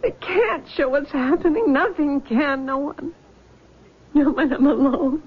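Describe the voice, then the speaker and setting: A woman's voice: sits high, measured pace. An actress playing a frightened, haunted young woman in a 1970s radio drama, stammering and near tears. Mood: terrified. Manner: trembling, stammering. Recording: dull lo-fi old radio broadcast.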